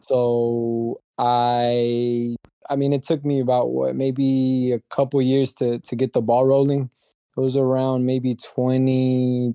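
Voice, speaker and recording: A young man, a guest on a podcast, talking casually in a soft-spoken, humble way with many restarts. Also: mid-range voice, dry recording. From Dallas, Texas. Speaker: guest, brand founder. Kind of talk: casual interview chat.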